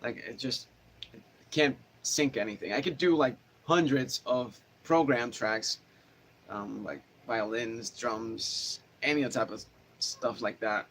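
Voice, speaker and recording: A man's voice: medium pitch, slow pace, fairly bright tone. A man with a nasal voice chatting casually with viewers from a hot home studio, rambling with lots of fillers and restarts. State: sweaty in a hot room. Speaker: guitar teacher answering viewer questions. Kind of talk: casual livestream chat. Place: small home studio room, no air conditioning, fan running. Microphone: camera microphone. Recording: faint background noise from a fan.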